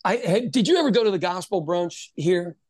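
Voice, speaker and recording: Animated middle-aged man telling stories, dry sound. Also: high voice, brisk, dry recording. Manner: animated storyteller, acts out quoted voices. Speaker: podcast host. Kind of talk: casual conversation.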